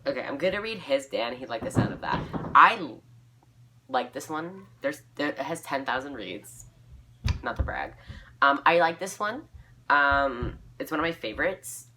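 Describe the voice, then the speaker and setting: A woman's voice: low voice, lively intonation, moderate pace, background noise. A young woman reading her fan fiction aloud informally, breaking off for giggly, self-mocking asides.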